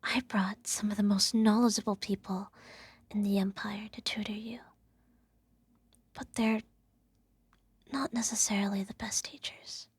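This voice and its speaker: Woman doing an evil empress whispering a bedtime story asmr style, super breathy, real slow, regal n smugly self-righteous but soothing. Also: medium pitch, flat delivery, dry recording. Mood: doting, coaxing. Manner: regal, measured, gently condescending. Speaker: ASMR roleplay performer.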